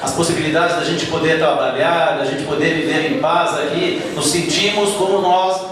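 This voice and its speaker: A man in his forties, born in Palestine, addressing a congress audience in a measured, deliberate way. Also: fairly high pitch, fairly even intonation, a bit bright, slight background noise. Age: 40s. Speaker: Islamic community speaker at a congress. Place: large hall with audience. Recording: echoey hall, far from mic, crowd behind.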